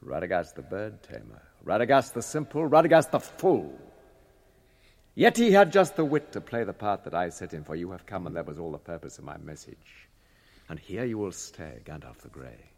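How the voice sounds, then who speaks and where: A proud old wizard declaims in a cavernous, ringing hall. His lowish voice swings wildly as he schemes for power with theatrical scorn, in radio drama style. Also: very animated, normal speed, creaky, a little mellow, heavily acted. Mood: scornful, boastful. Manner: grandiose, theatrical, scornful oratory. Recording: reverberant chamber radio drama sound.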